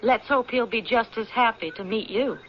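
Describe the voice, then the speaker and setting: A woman's voice: fairly high pitch, moderate pace, close to an everyday voice. An actress playing an earnest, loyal young mountain woman in a radio drama, plain-spoken with her father. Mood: calm, guarded. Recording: dull, noisy old radio recording.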